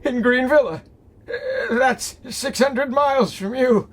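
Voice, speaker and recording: A man's voice: very high voice, exaggerated voice. An actor playing a frail, frightened, lonely old man in a radio drama, stammering and tearful. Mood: anxious. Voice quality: quavering, tearful. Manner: stammering, halting.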